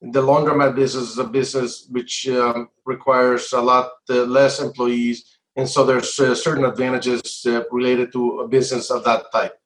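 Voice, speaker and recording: A middle-aged man from Colombia giving measured, halting answers in an interview, pausing often as he explains. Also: medium pitch, flat delivery, a bit bright. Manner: halting, measured, frequent hesitations. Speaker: interview guest, entrepreneur. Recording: echoey, roomy sound.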